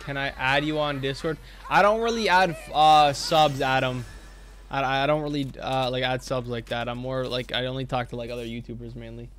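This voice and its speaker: A man's voice: mid-range voice, steady tone, moderate pace, a bit bright. A young man streaming from his home gaming room, chatting casually, laughing often and thanking donors. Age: young adult. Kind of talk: casual chat while gaming.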